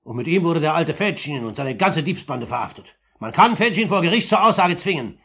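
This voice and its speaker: An actor playing a composed older uncle in a 1950s German radio play, speaking formally and deliberately with firm, accusing emphasis in a husky voice. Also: male voice, on the high side, animated, fairly bright tone, heavily acted. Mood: firm, commanding.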